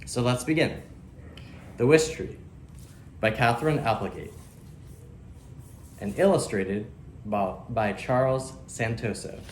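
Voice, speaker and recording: A man hosting a children's book read-aloud, speaking expressively with emphatic phrasing and deliberate pauses. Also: medium pitch. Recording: roomy echo, faint background noise.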